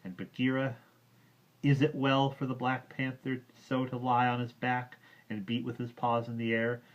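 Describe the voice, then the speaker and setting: A man's voice: mid-range voice, completely flat delivery, moderate pace, voice pushed up, lightly acted. A man voicing a proud, hot-tempered young jungle boy, speaking raised and indignant. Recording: dull lo-fi recording.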